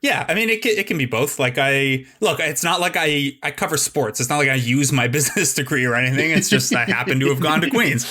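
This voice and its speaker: A man in his thirties hosting a podcast. His voice sits a little high, with a bright, sharp edge. He talks casually, in the loose way of an interview among peers. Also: animated, brisk, few pauses. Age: 30s. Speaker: podcast host, sports journalist.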